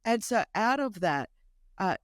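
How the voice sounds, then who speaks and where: A woman in her fifties with an Australian accent whose first language is Greek, telling a lively, humorous personal story as a podcast host. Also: medium pitch, a little slow, no room sound, studio quality. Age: 50s. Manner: animated, emphatic, humorous storyteller. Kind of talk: conversational testimony storytelling.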